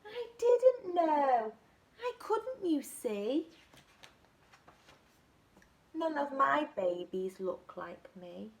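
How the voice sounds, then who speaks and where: A woman voicing an eager, helpful butterfly in a picture book, coaxing and sometimes hushed. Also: high-pitched, big pitch swings, very slow, vocal fry, long pauses, pitched above the performer's own voice, exaggerated voice. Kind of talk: storybook read-aloud.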